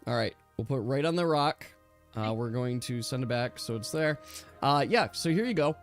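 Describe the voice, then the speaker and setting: A man's voice: mid-range voice, animated, normal speed, soft background music. A man speaking casually as game master, narrating and managing an online tabletop game. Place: online voice call.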